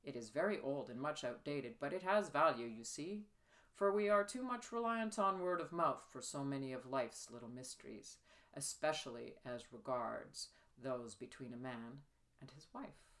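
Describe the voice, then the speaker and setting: An earnest, worldly Regency gentleman, read by a woman. He is coaxing and explanatory, eager to instruct, as a character in a literary audiobook. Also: a bass voice, animated, moderate pace, exaggerated voice.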